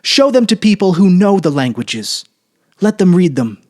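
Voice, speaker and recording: A man's voice: high voice, sing-song, moderate pace, a little mellow, pitched above the performer's own voice. A man voicing a lonely, exalted prisoner-scholar in a dramatic story reading, impassioned and declamatory. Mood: exalted fervour.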